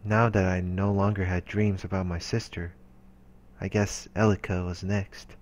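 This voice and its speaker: Low-voiced man narrating a visual novel. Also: level tone, normal speed, a little mellow. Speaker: let's player.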